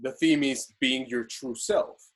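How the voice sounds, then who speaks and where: A man's voice: mid-range voice, slow pace. A man in his twenties discussing casually on a video call from a small room. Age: 20s. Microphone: computer on a video call.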